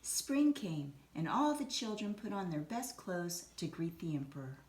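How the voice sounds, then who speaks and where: A woman reading a children's story aloud in an expressive, deliberate storytelling manner. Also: on the low side. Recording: roomy echo, some background noise.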